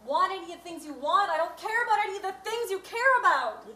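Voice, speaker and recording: A woman acts out a fed-up survivor wife in a live comedy sketch, blunt and insistent. Her voice is extremely high and bright, ringing around an echoey room. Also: animated, fast talker, exaggerated voice. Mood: firm, blunt. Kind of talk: live two-person comedy stage sketch. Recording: echoey stage with faint noise.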